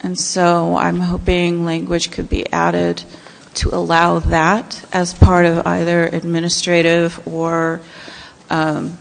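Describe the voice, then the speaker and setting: A soft-spoken woman speaking as a commissioner at a public meeting, hesitant with many fillers. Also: on the low side, monotone, slow, creaky, big-room sound.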